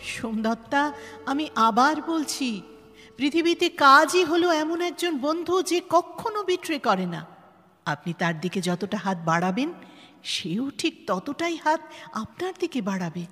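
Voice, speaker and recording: Earnest woman survivor, high bright voice, emphatic audio-drama delivery by an actress, long reverb. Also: big pitch swings, measured pace, exaggerated voice. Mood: earnest, urging. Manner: dramatic, emphatic, repeats words for weight. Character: earnest, resilient woman NGO head who survived tragedy. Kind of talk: Bengali audio drama acting. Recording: long hall reverb, music underneath.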